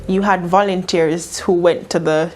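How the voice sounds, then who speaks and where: A woman's voice: fairly low pitch, fairly even intonation, a little slow, a little mellow. A young woman with a Jamaican accent speaking in articulate, formal wording as an interviewed student leader. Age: young adult. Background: faint background music.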